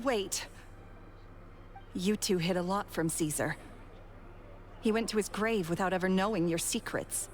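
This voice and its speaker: Actress as a slow, bitter woman schemer. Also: on the low side, a little mellow, slight background noise, close to an everyday voice. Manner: deliberate, brooding. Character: bitter, calculating adult female villain, proud and regretful.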